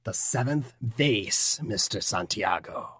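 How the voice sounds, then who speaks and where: A man playing a cold, formal villainous interrogator, slow and deliberate in an audiobook drama. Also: lowish voice, animated, a bit bright, breathy edge, no room sound, full character voice. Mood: menacing. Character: menacing male Illuminati interrogator, formal and cold. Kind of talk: full-cast audiobook acting.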